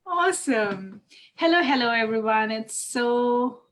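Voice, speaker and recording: A woman's voice: on the high side, animated, unhurried, a bit bright. A woman hosting a casual spiritual chat on a live video call from home, effusive and laughing often. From Australia. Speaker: host.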